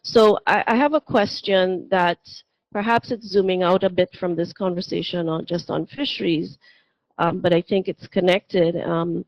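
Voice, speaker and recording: A woman from Trinidad and Tobago poses a question from the audience at a seminar, earnest and pausing with ums as she searches for words. Her voice sits a little low. The recording is dry and slightly dull. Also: steady tone, no room sound. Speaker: audience member asking a question.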